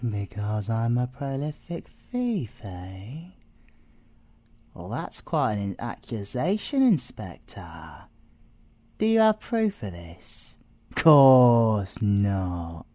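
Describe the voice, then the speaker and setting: A man's voice: medium pitch, big pitch swings, slow, dark tone, no echo. A British man voicing an arrogant, flirtatious male siren thief in an ASMR audio roleplay, teasing and theatrical, sometimes dropping to a whisper. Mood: playful, coaxing.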